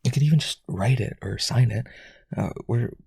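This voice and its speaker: A man playing a powerful, rich vampire aristocrat, desperately devoted, with breathy, raspy, intense and coaxing speech in a roleplay audio. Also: medium pitch, a little mellow, dry recording, clean studio sound. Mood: desperate, begging. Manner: intense, coaxing, begging, halting.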